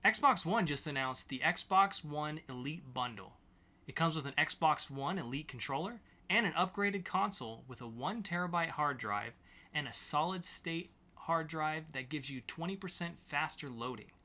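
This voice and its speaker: A man's voice: fairly high pitch, animated, moderate pace, sharp tone. A man hosting a podcast, reading scripted pop-culture news in an announcer-like way with sarcastic quips. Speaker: podcast host.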